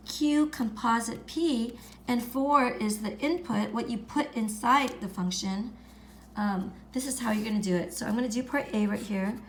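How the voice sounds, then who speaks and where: A woman with a raspy voice from being sick, patiently explaining a math lesson step by step and clearing her throat now and then. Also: on the high side, slight background noise. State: raspy from illness. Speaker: math teacher. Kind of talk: screen-recorded math lesson.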